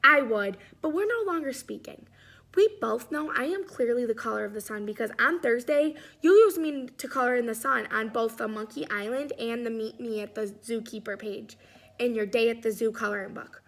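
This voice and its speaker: A woman's voice: fairly high pitch, normal speed, fairly bright tone. A woman voicing a smug, scornful crayon in a storybook, sneering and sure of itself. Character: scornful smug Orange Crayon, rival claimant to the sun.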